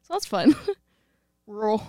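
A woman's voice: a little high, big pitch swings, slow pace, warm tone, no room sound, studio quality. A woman from rural Texas with a slight twang, chatting casually as a podcast co-host in a playful, giggly way with frequent interjections. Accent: American, slight Texas twang. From rural Texas, United States. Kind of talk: casual chat.

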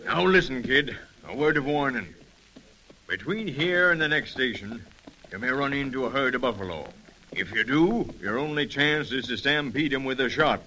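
Frontier relay station man: raised, forceful, folksy and cautionary, for vintage radio Western. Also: mid-range voice, expressive, normal speed, a little hiss or hum, rough recording. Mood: urgent warning. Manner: emphatic, folksy. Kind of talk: 1930s radio acting. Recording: old 1930s radio recording.

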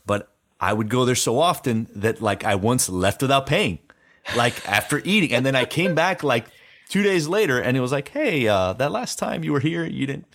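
An American man hosting a relaxed, conversational interview, talking in loose, informal phrases. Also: mid-range voice, normal speed. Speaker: interview host.

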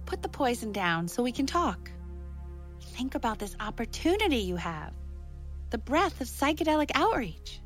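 A woman's voice: a little high, big pitch swings, moderate pace, faint music behind. A woman playing a seductive, persuasive bug hallucination living inside a man's head in an audio drama. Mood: coaxing, grandiose. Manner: coaxing, persuasive, grandiose. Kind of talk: scripted audio fiction acting.

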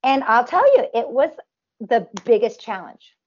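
A woman's voice: fairly high pitch, animated, measured pace, dull audio. A woman with a nasal voice, a performer talking casually in an interview, full of restarts and fillers. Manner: talks in long runs with many restarts and fillers. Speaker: tribute performer guest.

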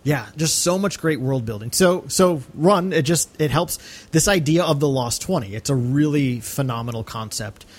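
A man's voice: on the high side, moderate pace. A man co-hosting a podcast, discussing film casually in an enthusiastic, emphatic way. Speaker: podcast co-host.

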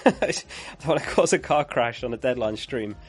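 A man's voice: medium pitch, moderate pace, husky, soft background music. A man who is losing his voice, hosting a live fantasy football stream in a chatty way, reading out viewer comments and laughing along.

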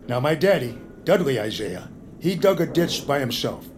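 A man's voice: mid-range voice, big pitch swings, moderate pace, slight background noise, close to an everyday voice. A man playing an earnest, folksy, rambling storyteller in a comic audio drama. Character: folksy, earnest male teller of rambling tales. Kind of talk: comic audio fiction acting.

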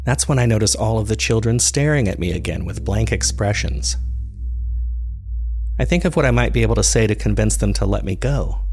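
Man, low creaky voice, measured delivery, first-person dramatic narration, music behind. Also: a little mellow. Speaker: narrator of a serial fiction podcast.

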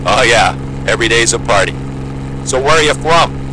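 A man's voice: mid-range voice, expressive, normal speed, noisy background, lightly acted. An actor playing a breezy, matter-of-fact, seasoned male Antarctic pilot in an audio drama. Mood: breezy, wry. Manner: breezy, matter-of-fact, wry, salty-tongued. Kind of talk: naturalistic audio drama acting with aviation radio jargon.

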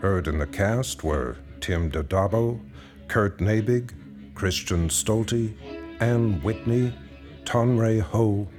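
A man's voice: on the low side, slow, a little mellow. A man reading scripted credits as the announcer of a radio drama show. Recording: music under the voice.

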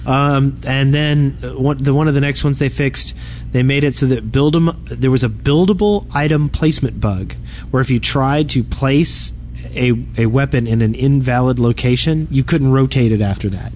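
A man's voice: mid-range voice, moderate pace. A man co-hosting a casual gaming podcast, talking informally with the others. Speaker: podcast co-host. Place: live on an open convention floor. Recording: busy convention floor noise. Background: convention ambience and loudspeaker.

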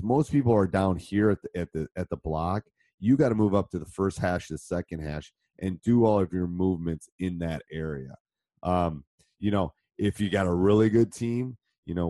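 A man in middle age with a very low, deep voice, roughened a little by a cold. He talks through coaching ideas in a loose, rambling way, stopping to restart his thoughts and filling the gaps with ums. The sound is dry and close, with no room around it. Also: warm tone, dry recording. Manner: conversational, many ums and restarts. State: has a cold. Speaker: basketball coach. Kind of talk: live online coaching session answering questions. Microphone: handheld mic during live stream.

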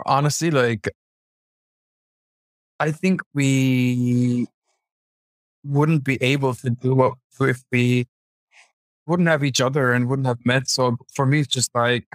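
A man from Germany, a guest designer, answers interview questions in a relaxed, loose way with many filler words. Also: medium pitch, no room sound.

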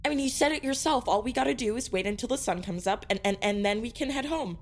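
A woman's voice: fairly high pitch, fast talker. An actress playing a spoiled, argumentative, snarky teenage girl in an audio drama.

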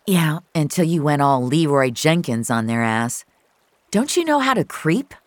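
A woman playing a blunt, sweary, emotional young gamer in an audio drama, with slangy, expressive delivery. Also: sits low, measured pace, dry recording. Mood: exasperated.

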